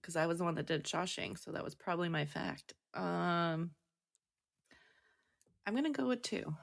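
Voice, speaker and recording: A woman co-hosts a podcast with a fairly low voice and noticeable vocal fry. She talks loosely and informally, thinking aloud as she banters. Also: expressive, normal speed. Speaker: podcast co-host. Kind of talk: casual banter.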